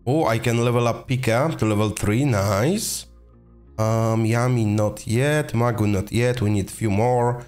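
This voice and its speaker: A young man with a voice hoarse from being sick, chatting casually through a game video and getting excited over rare drops. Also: medium pitch, a little slow, soft background music. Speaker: gaming video host. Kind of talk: casual gameplay commentary.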